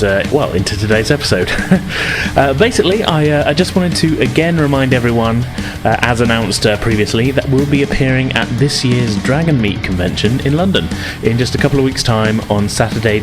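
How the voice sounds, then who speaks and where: A man hosting a podcast and narrating as game master, relaxed and full of "uh" fillers. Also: mid-range voice, fairly quick, over music.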